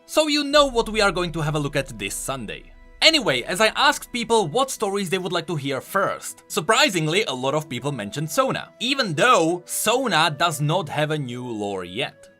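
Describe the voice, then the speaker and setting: A man narrating a scripted explainer as host, with animated, emphatic commentary. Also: fairly high pitch. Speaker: host of a game lore video. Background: music.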